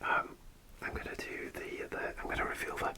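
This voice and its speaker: A man softly whispering a rambling ASMR story, with many fillers and restarts. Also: normal speed. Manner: soft, relaxed whisper throughout. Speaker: ASMR creator.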